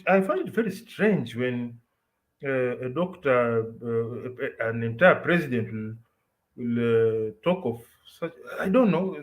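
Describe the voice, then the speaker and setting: Kenyan man, fairly high voice, talking casually. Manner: code-switches into Swahili, hesitant with fillers then emphatic. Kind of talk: casual chat.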